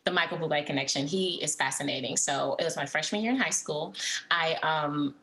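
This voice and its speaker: A young woman from Texas, a Broadway actress, talking earnestly and brightly in an interview, laughing often. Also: low voice, a little fast, poor audio. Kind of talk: casual interview chat.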